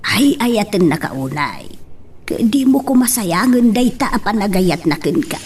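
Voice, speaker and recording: A woman's voice: medium pitch, sing-song, unhurried, a little mellow. A woman with an Ilocano accent playing a concerned, insistent mother in a radio drama. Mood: pleading, insistent. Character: concerned, insistent mother urging her daughter to reconcile. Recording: noisy home-studio recording.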